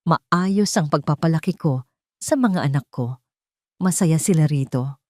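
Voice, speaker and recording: A woman's voice: sits low, a little slow, mellow, no echo, studio quality. A woman voicing a proud, protective single mother in an audio story, speaking firmly and emphatically. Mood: firm defiance. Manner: firm, emphatic.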